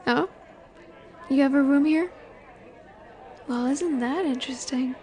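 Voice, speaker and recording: Faint bar chatter sits behind a very high female voice. It is a woman performing a flirtatious werewolf alpha in a roleplay audio drama, sure of herself and keen to take control. She speaks slowly and teasingly, with a sultry, dominant confidence aimed straight at the listener. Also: a little mellow. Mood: intrigued, seductive. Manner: teasing, sultry, unhurried with drawn-out pauses.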